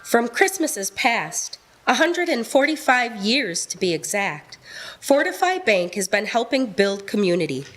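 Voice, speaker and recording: A woman's voice: a little high, big pitch swings, measured pace, a bit bright. An American woman reading scripted narration and announcements for a radio drama.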